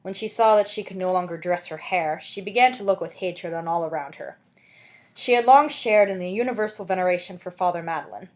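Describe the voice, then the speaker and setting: A woman's voice: fairly low pitch, fairly quick. Woman reading a classic novel aloud. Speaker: amateur audiobook reader. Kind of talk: expressive storybook narration.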